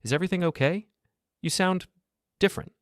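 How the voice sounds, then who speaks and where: A man's voice: high-pitched, fairly quick, dry recording, pitched above the performer's own voice, natural voice. Man reading as practical married woman, guarded, concerned, audiobook dialogue, dry close sound.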